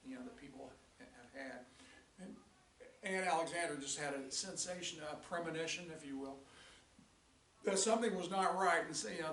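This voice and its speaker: An older male teacher recapping a lesson in a rambling way with many restarts, his voice raspy and tired. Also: a little high, fairly bright tone, recorded from a distance, slight background noise. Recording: echoey room, voice from off-mic.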